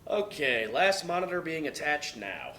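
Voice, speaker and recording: Bright, businesslike male medic, played by an actor. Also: on the high side, very animated, measured pace, slight background noise, heavily acted. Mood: clinical. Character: businesslike male medical professional running a drug trial. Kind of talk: dramatic play reading.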